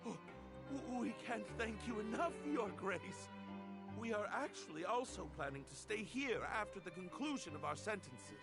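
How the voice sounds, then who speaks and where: A grateful, earnest prisoner in a video game. The voice is high for a man and swings in pitch, with a touch of hesitancy. Music plays clearly behind it. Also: lively intonation, moderate pace. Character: earnest, grateful male prisoner asking to marry.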